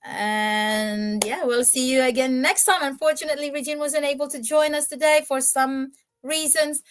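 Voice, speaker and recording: A woman's voice: high-pitched, steady tone, normal speed, faint music behind. A middle-aged woman from the Philippines, a native Ilonggo speaker, hosting a podcast and giving earnest advice with some hesitant fillers. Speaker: podcast host. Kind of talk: casual podcast chat and closing remarks.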